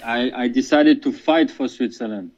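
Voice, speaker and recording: Fighter on a dull line. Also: male voice, medium pitch, a little mellow. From France. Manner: halting, many restarts and fillers, casual slang. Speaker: MMA fighter. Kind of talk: interview answers, remote.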